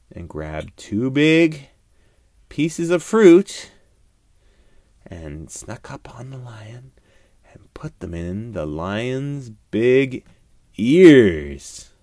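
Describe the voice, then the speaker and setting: A man telling an improvised children's bedtime story in a playful, animated way, with dramatic pauses and hushed moments. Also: medium pitch, no echo.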